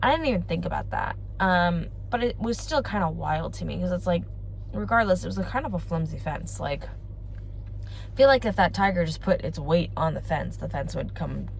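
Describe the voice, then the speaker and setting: A woman's voice: fairly low pitch, expressive, normal speed, creaky, a little hiss or hum, poor audio. A young woman with a congested voice, chatting casually and rambling to her vlog audience. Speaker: family vlogger. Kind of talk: casual chat to camera.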